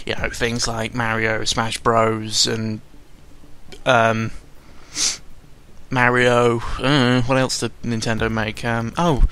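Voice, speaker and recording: A young man giving casual, unscripted commentary in a rambling, halting way, often interrupting himself, with a sarcastic, self-deprecating and exasperated manner. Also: medium pitch, flat delivery, a bit bright, clear, resonant tone. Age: young adult. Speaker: YouTuber recording an audio log. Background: background music.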